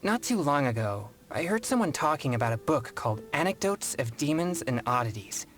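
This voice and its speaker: A serious teenage boy exorcist from a video game. The manner is formal, courteous and very earnest. The pitch moves in lively swings, with soft music behind the voice. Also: a little fast. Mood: indignant. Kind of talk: scripted video game voice acting.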